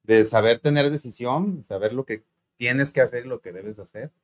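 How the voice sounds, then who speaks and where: A man hosting a casual interview, talking in a relaxed way with lots of fillers. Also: medium pitch, moderate pace. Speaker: host.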